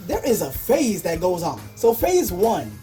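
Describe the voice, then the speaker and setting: Animated young woman storyteller, mellow voice. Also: lowish voice, a little slow, faint music behind. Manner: animated, exaggerated, acts out her own reactions. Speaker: storytelling video creator. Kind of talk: casual comedic personal storytelling.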